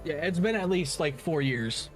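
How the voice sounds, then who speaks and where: A man's voice: a little high, animated, normal speed, faint music behind. A man giving casual livestream commentary on games as the host, rambling and opinionated, with casual swearing.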